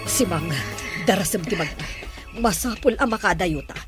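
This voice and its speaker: A woman's voice: medium pitch, animated, normal speed, loud music behind the voice, natural voice. A woman with a Filipino accent playing a resolute household mistress on the run in a radio drama. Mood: worried, urgent.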